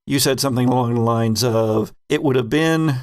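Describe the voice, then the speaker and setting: A man's voice: medium pitch, normal speed. A man hosting as game master, reflecting casually in a group discussion, often stopping to rephrase himself in a thoughtful way. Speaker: game master and podcast host.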